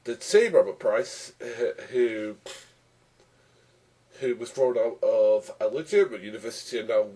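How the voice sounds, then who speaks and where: A young man with a cold, hoarse and argumentative, arguing with another video and often restarting his sentences. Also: mid-range voice, animated, unhurried, mellow, recorded from a distance, poor audio. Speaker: YouTube commentator. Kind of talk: casual rebuttal vlog.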